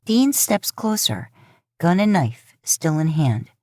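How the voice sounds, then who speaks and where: Woman, low pitch, scripted story narration, dry sound. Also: big pitch swings, takes it slowly, dark tone, no room sound. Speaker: podfic narrator. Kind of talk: scripted fan fiction narration.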